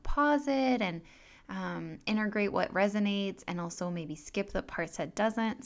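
A woman in her thirties, French but sounding American, hosting a calm wellness podcast in a soft, gentle, unhurried way. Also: medium pitch. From France. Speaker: podcast host and health coach. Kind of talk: calm solo podcast talk with guided breathing.

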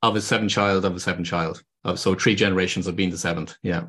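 A man's voice: sits low, steady tone, quick pace, fairly bright tone, breathy edge. A man with an Irish accent, a podcast guest and business consultant, explaining earnestly with frequent ums and restarts. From Ireland. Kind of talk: podcast interview.

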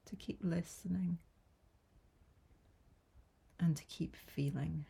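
A woman guiding a yoga nidra relaxation in a soft, breathy, soothing voice, gentle and unhurried with long pauses. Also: lowish voice. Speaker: yoga nidra meditation guide. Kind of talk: guided relaxation meditation.

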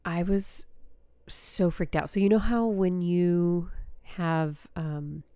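A woman's voice: on the low side, steady tone, takes it slowly, mellow, clear, resonant tone, no room sound, clean studio sound. A young adult woman hosting a podcast, telling a story in a rambling, casual way with frequent fillers, her nose stuffed up. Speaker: podcast host and spiritual coach.

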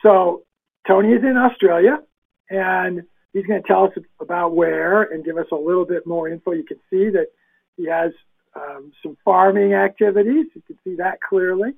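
A man's voice: sits high, steady tone, moderate pace, vocal fry, husky, narrow, tinny audio. A man hosting a webinar podcast interview, upbeat and chatty, talking over a video call. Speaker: podcast host.

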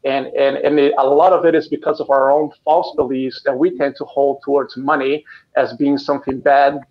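A man's voice: mid-range voice, level tone, normal speed. A man in his thirties, originally from Brazil, talking as a guest in a casual interview, earnest and often repeating words as he starts sentences. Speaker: canine physical therapist, guest. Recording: dull lo-fi audio from a live stream.

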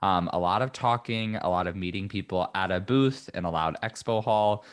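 A man's voice: deep voice, moderate pace. A man hosting his own podcast in a chatty, upbeat manner with many ums, his voice a little scratchy after losing it. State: recovering from lost voice, slightly scratchy. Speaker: podcast host. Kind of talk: casual solo podcast talk.

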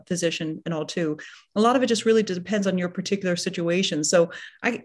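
A woman surgeon hosting a podcast, speaking in a reflective, measured way with frequent pauses. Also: mid-range voice. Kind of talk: casual podcast interview.

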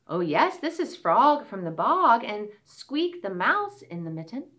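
A woman voicing a small, friendly male frog in a children's story, bright and welcoming. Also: on the high side, big pitch swings, a little slow, pitched above the performer's own voice.

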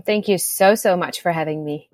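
A woman's voice: lowish voice, moderate pace, dry recording. Young czech-born woman, czech first language, friendly, says you know a lot, dry close sound. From Czech Republic. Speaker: business coach, guest. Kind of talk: casual interview chat.

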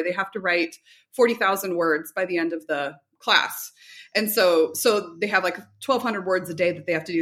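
A woman's voice: on the low side, fairly bright tone. A middle-aged woman giving chatty, casual interview answers as a company representative, often telling anecdotes and quoting dialogue. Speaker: guest, homeschool curriculum company representative.

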